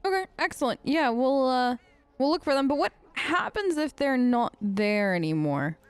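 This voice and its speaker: Casual woman, highish voice, close and dry. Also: expressive, moderate pace, clear, resonant tone, no room sound. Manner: casual, hesitant, wry. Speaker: role-play let's player. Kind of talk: improvised in-character game role play.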